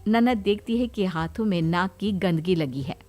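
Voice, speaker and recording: A woman's voice: on the low side, moderate pace, a little mellow, soft background music. A woman giving scripted narration for a comic family story.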